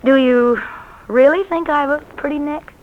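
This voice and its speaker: A woman's voice: sits high, moderate pace, close to an everyday voice. An actress playing a teasing, self-possessed lady novelist in a 1940s radio romance. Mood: coy, teasing. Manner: coy, playful. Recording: dull, noisy vintage radio recording.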